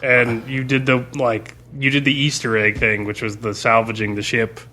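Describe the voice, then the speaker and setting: A man's voice: medium pitch, little pitch movement, moderate pace, bright, forceful tone. A man in a casual podcast chat, telling stories as a game master in an informal, rambling way. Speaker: game master discussing a campaign he ran.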